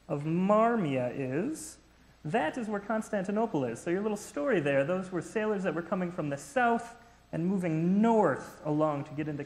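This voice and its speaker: A man's voice: high-pitched, darkish tone. A man playing a fussy ancient male geographer in a church skit, lecturing theatrically. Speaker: skit actor. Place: church sanctuary. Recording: echoey church hall.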